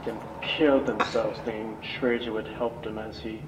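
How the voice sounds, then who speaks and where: A man playing a weary, guilt-ridden old scientist in an amateur video game dub, speaking gravely and solemnly. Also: lowish voice, flat delivery, normal speed, very noisy, natural voice. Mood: grave, explaining. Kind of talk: dramatic video game monologue, amateur voice acting. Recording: echoey, distant voice over game music.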